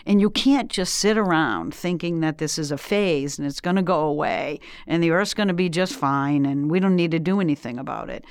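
Sixties woman, Boston accent, fairly low voice, dry close sound, animated and emphatic interviewee. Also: a little fast, few pauses, clear, resonant tone, dry recording. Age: 60s. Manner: emphatic, animated, laughs often. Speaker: interview guest. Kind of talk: energetic conversational interview.

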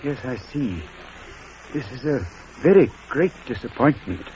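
An actor playing a shy, fussy middle-aged British schoolmaster in an old radio drama, speaking in a hesitant, stammering, formal way. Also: male voice, mid-range voice, very animated, unhurried, warm tone. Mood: crestfallen. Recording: dull, faintly noisy vintage radio recording.

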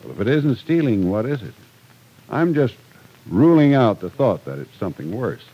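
A man's voice: medium pitch, big pitch swings, normal speed, slightly rough, highs cut off. An actor playing an exasperated, protective older man with an incredulous, scolding manner in an old-time radio soap opera.

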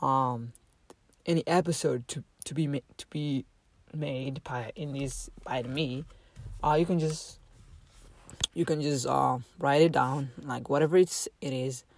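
A man teaching a casual online language lesson, halting with many fillers and restarts and repeating each phrase slowly for learners. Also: mid-range voice, steady tone, gravelly.

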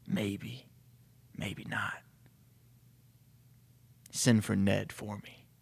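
A man voicing a grizzled, stressed vampire police chief in a slow, grave, hushed, deep and gravelly voice. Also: level tone, full character voice. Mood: grave, worried. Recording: reverberant room.

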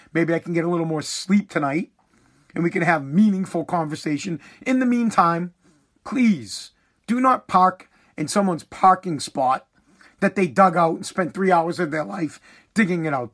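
A man's voice: high-pitched, normal speed, fairly bright tone. A man hosting his own podcast, ranting bluntly and heatedly with swearing while he is losing his voice. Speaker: podcast host.

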